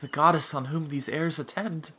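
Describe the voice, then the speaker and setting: An actor playing a grieving, lovestruck young shipwrecked prince, speaking earnest verse in a Shakespearean audio drama. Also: male voice, on the high side, steady tone, moderate pace, bright, forceful tone, breathy edge. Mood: awestruck, sorrowful. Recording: noisy remote online recording.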